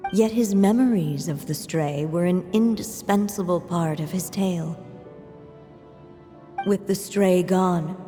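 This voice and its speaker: A solemn fairy-tale narrator for a video game. The voice is low and dark, measured and unhurried, with long reverb and music behind it. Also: female voice. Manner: measured, storytelling. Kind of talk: scripted storybook narration.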